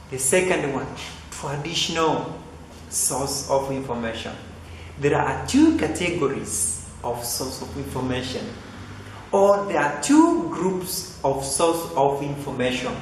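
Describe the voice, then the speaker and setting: A young man with a Tanzanian English accent teaching a lesson in an empty computer classroom, repeating points and asking "right?" often. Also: a little high. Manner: repetitive, asks "right?" and "huh?" often, lively gestures. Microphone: camera mic at a few feet. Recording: very echoey classroom with faint hiss.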